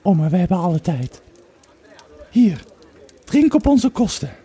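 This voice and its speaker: A polite teenage Roman lad in falsetto, timid yet eager. The voice is high, very soft-toned and very breathy, as in an audio drama. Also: male voice, big pitch swings, a little slow, exaggerated voice. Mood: eager, coaxing.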